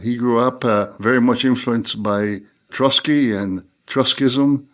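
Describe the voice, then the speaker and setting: An older man from Cuba speaks as an interview guest, recalling things in a measured, reflective way. He often stops and restarts mid-phrase, and his voice sits at an ordinary middle pitch. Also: steady tone. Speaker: theologian guest. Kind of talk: unscripted interview talk.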